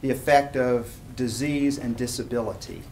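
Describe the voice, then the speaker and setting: An older man lectures to a college class in a medium-pitched voice. He talks conversationally and informally, tossing in short check-in questions as he explains. The classroom recording carries some faint background noise. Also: normal speed. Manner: conversational, animated gesturing, rhetorical check-ins. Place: university classroom, standing at lectern.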